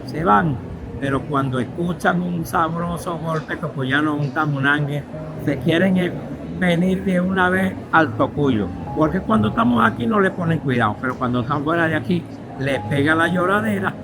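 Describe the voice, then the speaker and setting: Higher-pitched man talking casually. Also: normal speed, background noise. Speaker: local interviewee. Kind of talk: casual testimonial.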